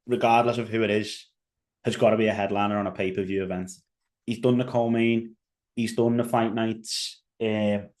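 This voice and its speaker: Low, flat-voiced Liverpool man. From England. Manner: rambling, many fillers and restarts. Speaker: podcast host. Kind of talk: casual solo commentary.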